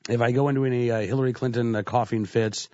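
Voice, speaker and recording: A man's voice: mid-range voice, fairly even intonation, fast talker, dark tone, no echo, lo-fi sound. A man hosting a talk radio show with a stuffy cold, speaking conversationally with frequent "uh" fillers. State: congested with a cold. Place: radio studio. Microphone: studio microphone.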